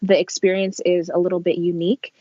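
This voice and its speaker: Slightly muffled, low-voiced American woman. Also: little pitch movement, fast talker, dark tone, no echo. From Las Vegas, United States. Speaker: podcast guest. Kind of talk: conversational interview answers.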